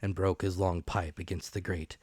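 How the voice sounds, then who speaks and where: A man's voice: sits low, little pitch movement, moderate pace, a little mellow, dry recording, studio quality. A man reading a classic adventure story as an audiobook narrator, with dramatic scripted delivery.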